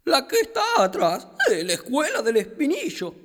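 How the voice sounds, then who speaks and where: A woman playing a friendly, excitable capybara in children's radio theater, with an Argentine accent and a drawn-out, giggly delivery. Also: fairly high pitch, slight background noise. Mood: cheerful. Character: friendly excitable capybara, animal guide to a little girl.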